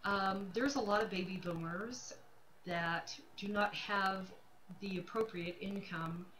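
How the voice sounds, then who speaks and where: A woman's voice: mid-range voice, slow pace, a bit bright, slight background noise, low-quality recording. A woman of fifty-nine talking casually and candidly to her livestream audience, repeating phrases for emphasis. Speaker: livestream host, self-employed realtor. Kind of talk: casual personal talk to camera.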